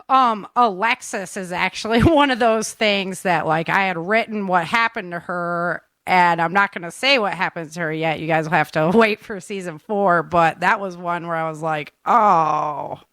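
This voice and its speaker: A woman's voice: on the low side, measured pace, fairly bright tone, no echo. A woman hosting a casual chat as an author, speaking in an enthusiastic, informal way with ready laughter. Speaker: author and show host.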